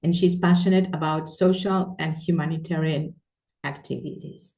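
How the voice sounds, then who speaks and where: A woman moderating an interfaith event, introducing the speakers with hesitant pauses and restarts. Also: low voice, steady tone, darkish tone, distant mic, poor audio.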